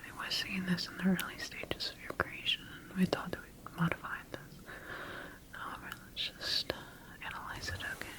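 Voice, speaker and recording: A woman playing a calm, clinical scientist in an ASMR roleplay, whispering breathily in a slow, gentle, measured way. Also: on the low side, lightly acted, poor audio. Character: calm, clinical female scientist examining her engineered creation.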